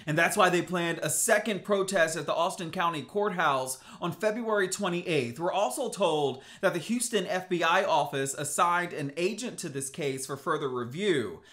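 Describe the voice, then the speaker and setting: A man in his thirties presenting a scripted news report from a home office, with a brisk, emphatic delivery. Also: fairly high pitch, animated, a bit bright, dry recording. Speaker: news host.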